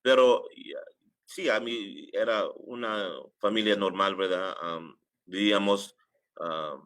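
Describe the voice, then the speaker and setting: Deep-voiced man, halting storyteller. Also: steady tone, fairly bright tone. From United States, New York. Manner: halting, sprinkles English filler phrases into Spanish. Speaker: imam, interview guest. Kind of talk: casual personal storytelling in an interview.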